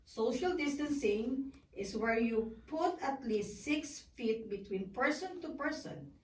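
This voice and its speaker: A woman acts out a polite female elephant puppet with a high voice and slow, earnest delivery. She sounds distant in an echoey, noisy room, like a guest on a children's puppet show. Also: lively intonation. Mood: explaining. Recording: echoey, distant, with background noise.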